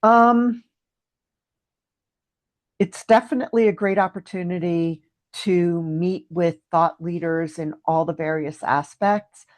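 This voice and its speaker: A middle-aged woman whose first language is French, answering interview questions in a thoughtful, hesitant way with frequent pauses. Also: fairly low pitch, fairly even intonation, dry recording. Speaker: guest. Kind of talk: casual podcast interview.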